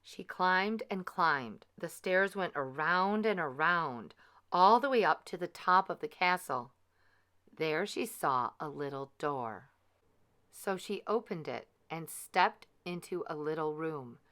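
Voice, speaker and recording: A woman reading a children's storybook aloud in an expressive, measured, dramatic way. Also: low voice.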